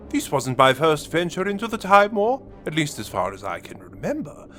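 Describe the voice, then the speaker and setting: A man's voice: on the high side, fairly bright tone, exaggerated voice. A man playing a gleefully menacing villain, a war-hardened renegade, in a theatrical, wry and sinister audio drama monologue that savours each phrase. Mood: gloating menace.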